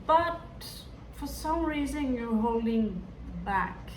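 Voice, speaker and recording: Slow echoey tarot-reading woman. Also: on the high side, expressive. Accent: Hindi-influenced. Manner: musing, hesitant, talks to the viewer directly. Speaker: tarot reader. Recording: echoey room, distant mic, background noise.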